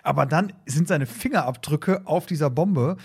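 A man with a slightly high voice talks as a podcast co-host in casual discussion. He rambles along, circling back over his words and voicing little quotes as he goes. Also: normal speed, vocal fry, a little mellow, slightly rough. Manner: rambling, repeats words, acts out short quotes. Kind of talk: casual discussion of an audio drama.

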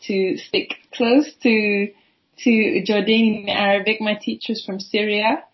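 A woman with a mid-pitched voice talks casually and in a friendly way. The sound is muffled and lo-fi. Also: flat delivery, slow pace, breathy edge. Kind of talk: casual conversation.

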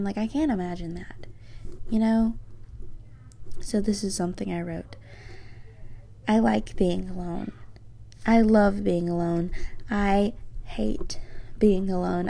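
Low, gravelly teen girl. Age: teens. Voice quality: low voice for a woman. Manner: soft-spoken, reflective, slightly hesitant. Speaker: podcast host. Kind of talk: personal podcast monologue, reading own journal.

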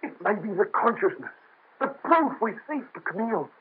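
An actor playing an anxious physician, fretful and pleading, with a husky voice. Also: male voice, high voice, big pitch swings, normal speed, full character voice. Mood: frantic. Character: anxious male physician, haunted and desperate. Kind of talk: 1930s radio drama. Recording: tinny old radio recording.

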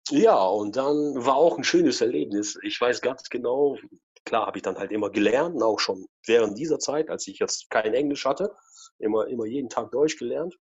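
Interview guest, forties, husky voice, rambling storyteller, muffled recording. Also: male voice, mid-range voice, normal speed. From former Soviet Union. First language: Russian. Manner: rambling with many fillers and tag questions. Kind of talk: casual personal storytelling.

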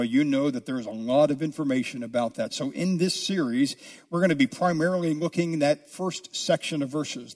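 A man preaching an expository sermon as a pastor, speaking deliberately with drawn-out, emphatic phrases. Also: fairly high pitch, a little mellow. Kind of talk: expository sermon, teaching a Bible book.

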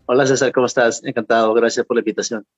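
A middle-aged man from Peru with a Peruvian accent, a guest talking in a halting way, repeating words and adding tag questions. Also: mid-range voice, level tone, lo-fi sound, faint music behind. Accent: Peruvian Spanish. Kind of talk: interview.

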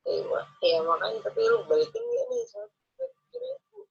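A man's voice: extremely high pitch, wildly swooping pitch, normal speed, vocal fry. A man with a Jakarta Indonesian accent chatting casually over a phone line. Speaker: friend receiving a prank call.

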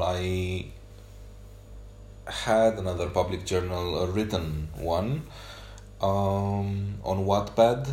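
A man whose first language is Romanian, talking unscripted on a solo podcast journal, hesitant with many pauses and fillers. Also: low voice, a little mellow, echoey room, a little hiss or hum. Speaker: podcast host.

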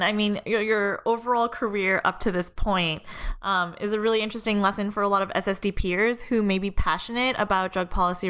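Female interviewer. Crisp, smooth voice, measured and articulate conversational delivery. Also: mid-range voice, fairly bright tone. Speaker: podcast host, interviewer.

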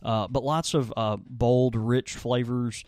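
A man's voice: mid-range voice, steady tone, slow, darkish tone, dry recording. Laid back young guy on a podcast, medium voice, rambles with lots of uhs, dry sound. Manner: easygoing, rambling, full of fillers. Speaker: podcast co-host and tobacco shop worker. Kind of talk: casual chat.

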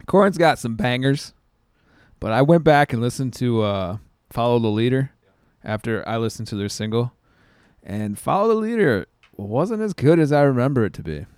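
A man's voice: medium pitch, lively intonation, moderate pace, a little mellow, clear, resonant tone, no echo. A man in his thirties bantering casually and crudely as a podcast co-host. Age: 30s.

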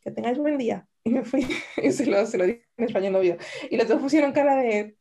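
A woman co-hosting a podcast, telling a story in a relaxed chat with frequent restarts. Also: on the high side, a little fast, slight background noise. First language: Spanish. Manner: storytelling, many restarts and "o sea". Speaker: podcast co-host. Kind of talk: casual chat over wine.

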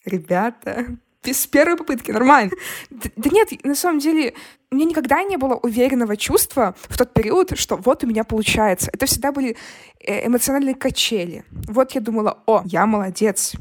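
Young female podcast guest, singer type. Fairly high voice, informal and expressive delivery. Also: brisk. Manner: expressive, informal, acts out her inner dialogue. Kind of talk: casual conversational interview answers.

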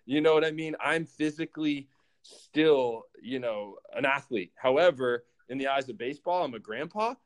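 Animated storytelling man in his thirties. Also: mid-range voice, moderate pace. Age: 30s. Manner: animated storytelling, acts out his own thoughts in quotes. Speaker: former pro baseball player. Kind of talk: casual podcast conversation.

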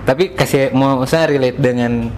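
A man's voice: mid-range voice, steady tone, moderate pace, darkish tone. A man with a Gorontalo Indonesian accent interviewing a guest in a loose, informal chat. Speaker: podcast interviewer. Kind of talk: casual chat, informal questions. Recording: noticeable room noise and ambience.

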